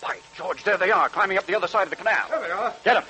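An actor playing a commanding sheriff in a 1940s radio crime drama, calling out urgent, clipped orders. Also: male voice, high voice, very fast, natural voice. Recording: noisy old 1940s radio recording.

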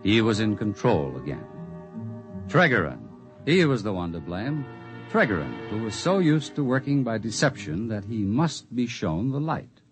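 A man's voice: lowish voice, sing-song, normal speed, over music. A man delivering dramatic scripted narration for a 1950s radio science fiction drama.

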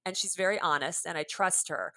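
A woman guest author speaks with a bright, forward tone and a fairly low pitch, recorded dry and close. She is chatty, laughs often and trails off and restarts as she talks. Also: fairly quick, dry recording. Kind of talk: conversational interview.

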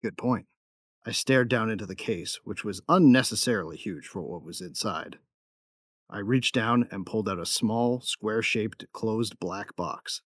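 Low-voiced, dry-witted gunfighter played by a man. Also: a little slow, no room sound. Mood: matter-of-fact, grim. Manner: matter-of-fact, wry. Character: tough, dry-witted young male gunfighter, protective big brother. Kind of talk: first-person narration and dialogue in sci-fi audio drama.

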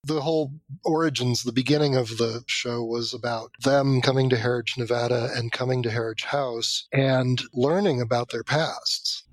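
A man's voice: medium pitch, fairly even intonation, normal speed, rough recording. A man giving conversational interview answers as a producer, explanatory and a little self-deprecating. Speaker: guest, audio drama producer.

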